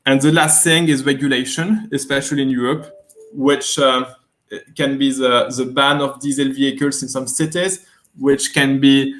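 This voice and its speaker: A man from France with a French accent, a guest executive speaking in an online interview, measured and hesitant, with many fillers. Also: mid-range voice, steady tone, fairly bright tone, poor audio. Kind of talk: webinar interview.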